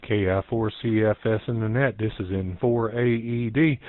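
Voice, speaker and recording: A man giving a casual, halting, meandering update as an amateur radio operator on a net. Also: on the low side, fairly even intonation, no room sound. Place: home office. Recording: dull narrowband internet radio link.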